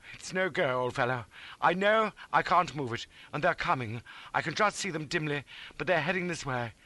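A male reader puts on a noble officer in an adventure audiobook. The voice is high and bright, breathless with fervour. He speaks with pious, sentimental devotion to duty. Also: expressive, moderate pace, no room sound. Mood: sorrowful, steady. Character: earnest, noble young British officer, dutiful and sentimental.

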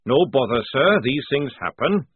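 A gruff veteran army sergeant, voiced by a man, barking orders at his squad. The voice is husky and loud. It sounds dry and thin, as if over a phone. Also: medium pitch, very animated, moderate pace, no room sound, narrow, tinny audio, voice pushed up.

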